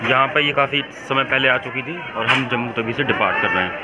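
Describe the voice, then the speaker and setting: Young man vlogging over loud train noise. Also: quick pace. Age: 20s. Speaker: travel vlogger. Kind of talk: casual vlog narration to camera. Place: train doorway and railway platform. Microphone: phone at arm's length. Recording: loud train rumble, dull phone audio. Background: loud train and station noise.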